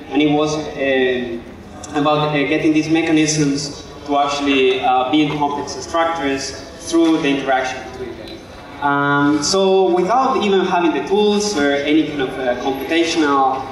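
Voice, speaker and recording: A man in his thirties from Spain with a Spanish accent, giving an informal lecture in halting phrases over a handheld microphone. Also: fairly high pitch. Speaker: guest lecturer, architect and educator. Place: open-sided stage before an audience. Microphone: handheld microphone through a PA. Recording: very echoey, distant from the microphone.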